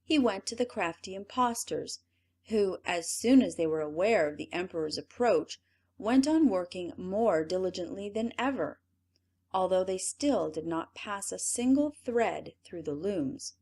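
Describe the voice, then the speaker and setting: A woman reading a classic fairy tale aloud as an audiobook narrator, in an expressive storytelling manner. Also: mid-range voice, a little slow. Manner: expressive, lively storytelling read.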